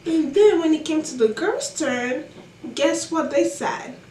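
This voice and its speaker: A young woman with a non-American accent telling college stories casually, animated and exasperated, with many fillers. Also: high-pitched, measured pace, echoey room, far from the mic, slight background noise. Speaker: college student vlogger. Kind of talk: casual storytelling to camera.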